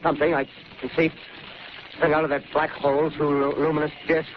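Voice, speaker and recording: An American man playing an excited radio reporter at a crash scene in 1930s radio drama style, with halting, stammering live description. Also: fairly high pitch, lightly acted. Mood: horrified awe. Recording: noisy, dull 1938 radio broadcast recording.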